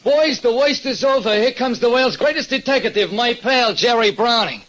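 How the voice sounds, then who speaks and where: An excitable New ex-crook turned diner owner, pitched very high. He talks in streetwise, ungrammatical slang and sounds anxious and worked up. It is 1940s radio detective drama on a muffled old recording. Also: normal speed, slight background noise. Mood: hearty. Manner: streetwise ungrammatical wise-guy talk. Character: Louie, excitable ex-burglar diner owner, anxious tough guy.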